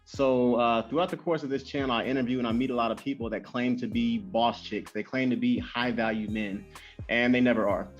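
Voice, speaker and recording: A man hosting a casual interview, talking quickly and loosely with many fillers and repeated phrases. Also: medium pitch. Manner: fast, animated, lots of fillers and repeats. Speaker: host. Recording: dull lo-fi sound with faint music.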